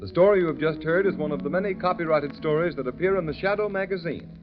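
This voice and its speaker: A man's voice: fairly high pitch, quick pace, over music. A man announcing a 1930s radio show in a formal, emphatic style. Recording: muffled vintage radio recording.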